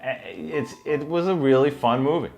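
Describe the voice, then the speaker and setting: A man's voice: fairly high pitch, normal speed, creaky, a little mellow. Man co-hosting a movie show over music. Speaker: co-host of a movie review show. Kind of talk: casual movie countdown chat. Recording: music playing behind the talk. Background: music bed.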